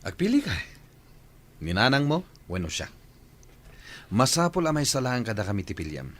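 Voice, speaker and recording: A man's voice: mid-range voice, sing-song, normal speed, fairly bright tone. A man acting a domineering, irritable young boyfriend in a radio drama. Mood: angry, demanding.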